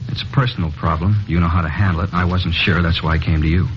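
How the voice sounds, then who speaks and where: Un jeune homme nerveux et fuyant, joué par un acteur avoue un meurtre en hésitant et en se répétant, d'une voix grave au débit rapide, dans un vieil enregistrement radiophonique terne des années 1950. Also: male voice, deep voice, steady tone, a little hiss or hum, natural voice. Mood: uneasy. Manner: halting, insistent, repeats himself. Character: nervous evasive young man confessing a murder. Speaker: murder suspect. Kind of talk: 1950s radio drama acting. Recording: dull old radio broadcast recording.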